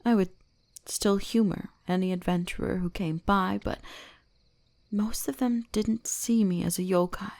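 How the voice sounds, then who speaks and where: A woman voicing a teasing, gently dominant kitsune shrine maiden in an intimate ASMR roleplay, often slipping into whispers. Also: medium pitch, steady tone, measured pace, creaky, no echo. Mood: smug, teasing.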